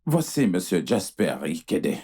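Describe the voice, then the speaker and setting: A man's voice: sits low, sing-song, unhurried, dark tone, a little hiss or hum. A man playing an apologetic fertility doctor, formal, hesitant and placating, in dubbed film dialogue. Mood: grave, hesitant.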